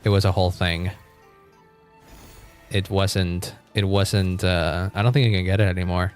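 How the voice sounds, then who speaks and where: A man's voice: deep voice, fairly even intonation, moderate pace, clean tone, soft background music. A man livestreaming a game, chatting casually with viewers in a rambling, informal way with some mild swearing.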